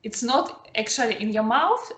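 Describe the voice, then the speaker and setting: A woman from Russia with a Russian accent, a beginner language student speaking slowly and searching for words in an online lesson. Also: on the high side, lively intonation, a bit bright. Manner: hesitant, searching for words, laughs often. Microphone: video call. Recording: echoey room over video call.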